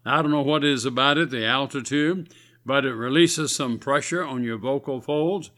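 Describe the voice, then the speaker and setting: A man's voice: medium pitch, normal speed, fairly bright tone. A man with a scratchy voice, preaching earnestly on a radio sermon broadcast in a folksy, exhorting way.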